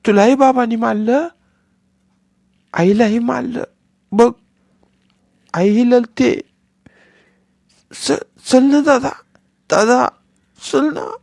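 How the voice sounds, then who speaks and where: A man's voice: very high voice, drawn-out words, vocal fry, long pauses, darkish tone, no echo, studio quality, pitched above the performer's own voice, exaggerated voice. A man voicing a small tearful boy who lisps, his r sounds coming out as l, in a storybook reading. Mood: pleading, tearful. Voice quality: childish lisp, r turned to l.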